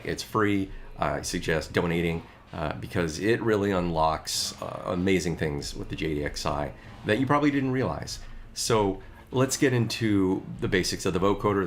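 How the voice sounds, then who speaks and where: A man's voice: deep voice, a little hiss or hum. A middle-aged man talking casually about his music gear, chatty and a bit rambling.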